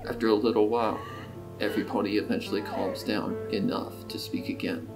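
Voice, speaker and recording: A man reading scripted dramatic story narration in a measured, solemn manner. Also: lowish voice, flat delivery. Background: guitar music.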